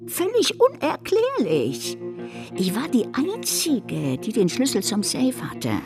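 Radio drama role: bitter, bossy middle-aged mother, high voice, swooping delivery, coarse and scolding. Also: huge pitch swings, takes it slowly, over music, full character voice. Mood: firm, indignant. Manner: scolding, blunt, coarse, theatrical. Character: sharp-tongued, bitter, domineering mother of a grown daughter.

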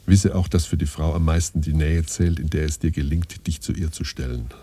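A solemn patriarch lecturing his son in a radio play. The voice is extremely low and dark, with a heavily husky, breathy grain. He speaks in pompous, old-fashioned commands. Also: brisk. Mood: solemn, admonishing. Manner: pompous, archaic, instructing in imperatives. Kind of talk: scripted radio play monologue.

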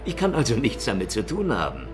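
A man's voice: on the low side, wildly swooping pitch, brisk, music in the background. A man playing a hot-headed, defensive ex-convict in a crime radio drama, speaking emphatically and argumentatively. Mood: confident, insistent.

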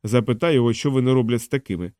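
A man's voice: mid-range voice, rapid-fire, mellow, no echo, studio quality, natural voice. Man reading as an insistent, astonished male engineer. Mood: agitated, insistent. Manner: exclaiming, insistent.

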